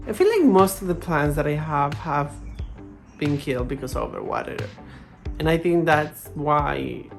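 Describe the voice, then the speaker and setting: A woman's voice: low-pitched, gravelly. A woman hosting a plant vlog, chatting casually to camera in a playfully dramatic, exclamatory way. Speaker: plant vlogger, host.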